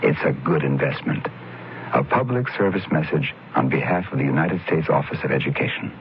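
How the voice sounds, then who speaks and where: A male announcer with an extremely deep voice makes a matter-of-fact public service appeal. The recording is dull and lo-fi, with a faint hiss. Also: a little fast.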